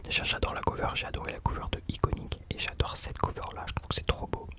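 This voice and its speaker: A young man whispering casual ASMR commentary as a host, hesitantly searching for his words. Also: fast talker.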